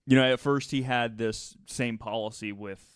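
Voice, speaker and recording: A man hosting a podcast, telling a story casually and haltingly, with conversational asides. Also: mid-range voice, steady tone, smooth, dry recording, studio quality.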